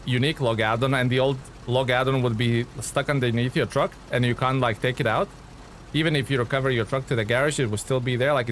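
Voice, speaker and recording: A man chats easily with his live-stream viewers, his voice sitting at an ordinary middle pitch. He rambles a little, stopping and restarting with plenty of "uh"s. A faint hiss sits under the recording. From Lithuania. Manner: relaxed, chatty, many fillers and restarts. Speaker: game streamer. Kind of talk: casual live-stream chat reading viewer comments.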